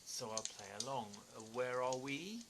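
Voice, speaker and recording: Bright-voiced, hesitant, sceptical stranger, a man acting. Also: medium pitch, lively intonation, moderate pace, slight background noise, close to an everyday voice. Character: bewildered, sceptical amnesiac stranger, a writer. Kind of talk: audio play acting.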